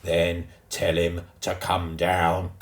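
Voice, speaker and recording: A man's voice: deep voice, completely flat delivery, slow pace, echoey room. A man voicing a fierce, angry grown man who roars stern orders. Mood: furious. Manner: roaring, stern.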